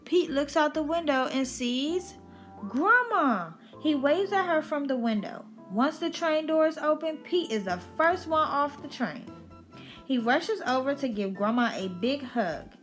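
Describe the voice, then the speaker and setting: A woman's voice: high voice, expressive, unhurried, a bit bright, faint music behind. A woman reading a children's picture book aloud as a storyteller on her channel.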